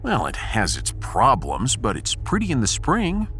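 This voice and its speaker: A man's voice: mid-range voice, wildly swooping pitch, measured pace, a bit bright, soft background music. A man playing a sarcastic, impatient male starship captain in a sci-fi audiodrama. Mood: impatient, mocking. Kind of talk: sci-fi audiodrama character acting.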